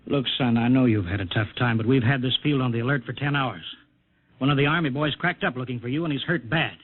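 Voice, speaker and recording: An actor playing a gruff, blunt older chief of a test airfield in a 1950s radio drama. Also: male voice, mid-range voice, fast talker, lightly acted. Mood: stern. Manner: gruff, commanding. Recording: tinny old radio broadcast with hiss.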